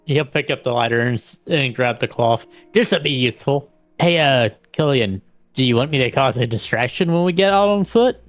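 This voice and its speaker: A man's voice: mid-range voice, lively intonation, moderate pace, no room sound. A man with a mid-range voice plays a tabletop role-playing game. He casually narrates his character's actions over faint background music.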